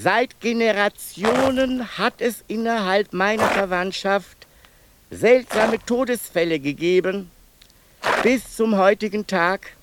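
Radio-play asylum inmate, pedantic and fixated, a higher voice over steady hiss. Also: male voice, slow pace. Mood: fixated, solemn. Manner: long-winded, circling, endlessly repeating himself. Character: male asylum inmate, obsessive pedantic storyteller. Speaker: inmate narrating family deaths. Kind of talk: 1990s radio play acting.

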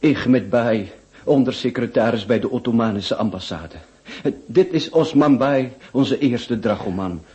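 A man's voice: mid-range voice, unhurried, darkish tone, slightly rough. An actor with a Flemish accent playing a cautious, placating Ottoman embassy official in a radio play, reasoning and hesitant. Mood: formal. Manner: reasoning, hesitant, repeating words. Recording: dull lo-fi radio recording.

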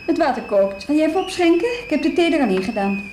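Radio drama part: guarded, weary grown woman, firm and brief, fairly high voice, echoing room. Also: animated, fast talker, noisy background. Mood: matter-of-fact. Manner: curt, firm, matter-of-fact. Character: weary, guarded but kindly adult woman, reluctant host. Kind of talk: radio drama acting, naturalistic dialogue.

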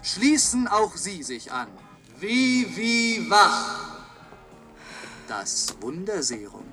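An actor in a radio play voicing a slick, upbeat, emphatic advertising announcer selling a wonder drug. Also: male voice, sits high, big pitch swings, very slow, pushed and bright, faint music behind, heavily acted. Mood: upbeat, persuasive. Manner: emphatic, salesman-like. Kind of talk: radio play parody of a commercial.

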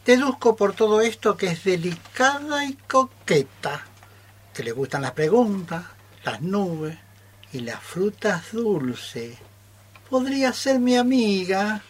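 Lady playing wise kindly mole in a kids radio play, slow, very expressive pitch. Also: a little hiss or hum. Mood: kindly, thoughtful. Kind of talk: children's animal radio play.

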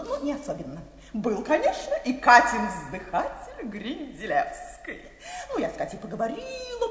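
A woman playing a chattering, melodramatic, self-absorbed young wife in a comic radio play, gushing and theatrical. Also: sits high. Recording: echoey hall, long reverb.

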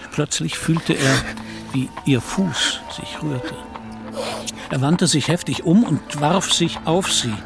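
A man giving scripted literary narration for a radio play in a measured, descriptive storytelling manner. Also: medium pitch, gravelly.

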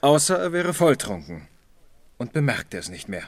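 A man's voice: mid-range voice, very animated, normal speed, dry recording. A man acting a shrewd, matter-of-fact police lieutenant in a radio crime play. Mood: probing. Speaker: actor in radio crime drama.

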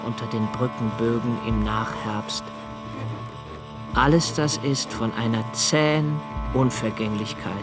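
A male actor plays a brooding young diarist in a literary radio play. He speaks very slowly, with halting, contemplative deliberation and a haunted inwardness. Music runs clearly behind his voice. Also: mid-range voice, natural voice. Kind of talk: literary radio drama monologue.

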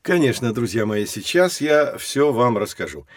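A man plays a kindly professor in a children's learning audio play. His delivery is lively and encouraging, with a dry, close studio sound. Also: mid-range voice, normal speed, no room sound, natural voice. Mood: genial, encouraging. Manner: genial, encouraging.

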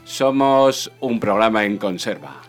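A man's voice: fairly low pitch, expressive, a little slow. A man with an accent from Spain, co-hosting a radio show in casual, joking chat. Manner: joking, playful. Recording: music behind the voice. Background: music.

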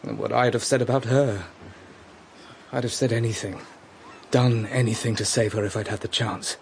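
A man's voice: mid-range voice, fairly even intonation, moderate pace, creaky, natural voice. Young guy, played by an actor, brooding n sardonic, radio play, bit of background noise. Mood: wry, intense. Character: brooding, sardonic adopted son of a murdered mother. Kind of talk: radio drama acting.

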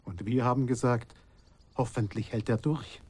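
An actor playing a sombre teller of a fugitive's ordeal in a radio drama, with terse, deliberate phrasing. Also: male voice, medium pitch, darkish tone, slight background noise, lightly acted. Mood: hopeful. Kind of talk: dramatic narration in a radio play.

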